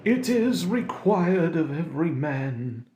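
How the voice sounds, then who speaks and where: A man's voice: big pitch swings, warm tone, dull audio. A man playing a wailing, mournful old ghost in chains. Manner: high, slow and mournful. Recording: echoey room.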